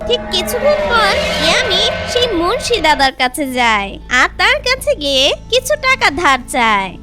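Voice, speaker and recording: Very high-pitched, animated children's story narrator. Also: normal speed, over loud music.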